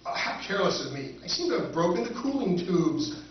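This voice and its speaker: Man acting an excitable high-voiced spaceman, echoey hall. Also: fairly quick. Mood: wry, scheming. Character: exasperated, scheming human spaceman held captive. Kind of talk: live recreation of 1950s radio science fiction acting. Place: live stage at a convention, indoors. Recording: echoey, distant, dull noisy convention hall.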